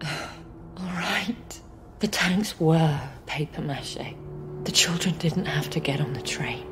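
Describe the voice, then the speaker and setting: A woman's voice: low voice, takes it slowly, music in the background. An actress playing a desperate, bitter British woman official in a dramatic video game. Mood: resigned, grave.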